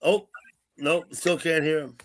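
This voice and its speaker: Rambling sixty-year-old man, higher voice. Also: a little slow. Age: 60s. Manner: rambling, repeats himself. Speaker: livestream host. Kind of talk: casual call-in chat.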